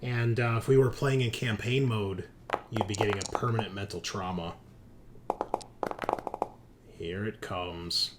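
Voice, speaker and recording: A man's voice: lowish voice, fairly even intonation, unhurried, long pauses. A man running a tabletop roleplaying game as game master, explaining rules and procedure step by step. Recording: clearly noisy background.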